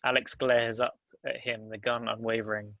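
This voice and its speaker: A man narrates a script's stage directions in a bright, forward voice. His pitch is average and somewhat even, and the sound is dry, with little room around it. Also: moderate pace, vocal fry, no room sound, muffled recording. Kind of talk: scripted narration at a table read.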